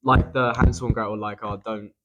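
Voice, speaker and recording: A man asking interview questions in a halting way, padding them with "like". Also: mid-range voice, little pitch movement, lo-fi sound, a crowd in the background. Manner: halting, lots of "like".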